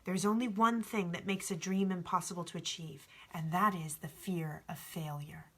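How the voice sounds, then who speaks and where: A woman voicing a calm, wise male alchemist in a storybook read-aloud, hushed, measured and gently instructive. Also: on the low side. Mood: gentle, reassuring.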